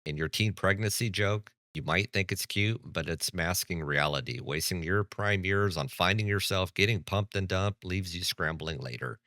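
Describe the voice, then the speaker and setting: A man's voice: low voice, moderate pace, hardly any pauses, sharp tone, no echo, studio quality. A man hosting a reaction commentary, blunt and lecturing with a sarcastic edge. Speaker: commentary channel host.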